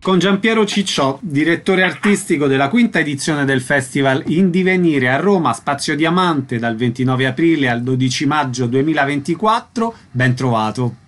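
Higher-voiced male radio interviewer. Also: expressive, normal speed, hardly any pauses, a bit bright, faint music behind. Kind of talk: scripted radio interview questions and introductions.

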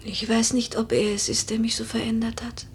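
A woman playing a wistful, proud noble widow in a German literary radio play, measured and poetic with hushed, breathy phrases. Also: a little high, little pitch movement, slight background noise, lightly acted. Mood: melancholy, guarded.

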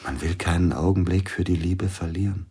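A man playing a grieving widowed concert pianist in a radio play, speaking in a subdued, husky voice. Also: deep voice, fairly even intonation, a little fast, dark tone, full character voice. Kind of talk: radio drama acting.

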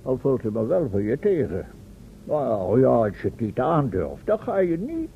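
An actor playing a pompous, domineering, eccentric professor in a radio play, fussy and commanding. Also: male voice, mid-range voice, wildly swooping pitch, normal speed, creaky. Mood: indignant, manipulative. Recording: dull old radio recording, faint noise.

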